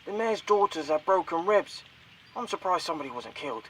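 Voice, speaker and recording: Distant noisy recording, shocked male eyewitness, outraged, bright forceful voice, news vox pop, audio drama. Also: on the high side, lively intonation, a little fast. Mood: shocked, indignant. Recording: distant, radio-like, faint noise.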